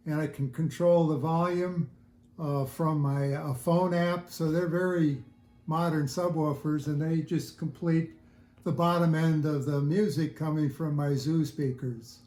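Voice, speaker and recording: Older man, mellow voice, roomy sound. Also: on the high side, steady tone. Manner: halting, thoughtful explaining. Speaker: interview guest, audiophile hobbyist. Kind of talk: casual interview chat. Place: indoors at home listening room. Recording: roomy, echoey home recording.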